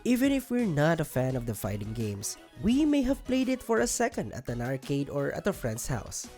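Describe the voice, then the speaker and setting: A woman's voice: low voice, sing-song, moderate pace, mellow. A woman narrating scripted countdown commentary in an opinionated, sardonic, emphatic way. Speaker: video narrator. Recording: music bed under voice-over. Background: background music.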